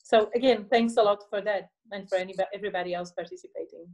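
A middle-aged woman sharing her experience on a video call from her living room, measured and articulate. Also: medium pitch, steady tone, a little mellow. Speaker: festival participant.

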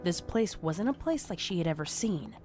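A man's voice: high-pitched, sing-song, moderate pace, a little mellow, soft background music. A man reading scripted, dramatic narration of a horror story as its narrator. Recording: clear background noise and ambience.

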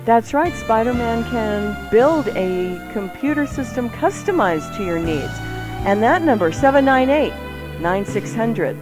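A woman's voice: fairly high pitch, expressive, unhurried. A woman co-hosting a radio show in a casual, bantering style, playful and theatrical, given to exclamations. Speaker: radio co-host. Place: radio studio. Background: music.

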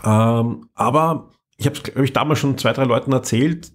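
A man with a slightly husky voice, chatting on a podcast about audio dramas. He speaks in a relaxed, chatty way and stumbles now and then. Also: mid-range voice, moderate pace. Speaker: podcast co-host.

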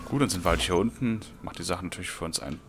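A man's voice: low-pitched, rapid-fire, music in the background. A man hosting a let's play with casual, rambling commentary, half talking to himself. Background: video game sounds.

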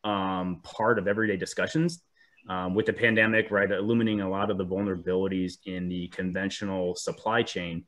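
A man's voice: low voice, little pitch movement, brisk, fairly bright tone. A man with a cold, sounding a bit sniffly, presenting a webinar over a video call with frequent ums and restarts. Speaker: 3D printing specialist presenting a webinar.

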